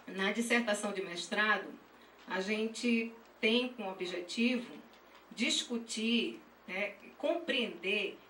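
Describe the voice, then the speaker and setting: A woman with a northeastern Brazilian accent giving an academic conference presentation, halting and often checking in with tag questions. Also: a little high, a bit bright. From Pernambuco, Brazil. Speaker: graduate dance student and teacher presenting research. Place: echoey session room at a university dance school. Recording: roomy, distant mic, faint noise.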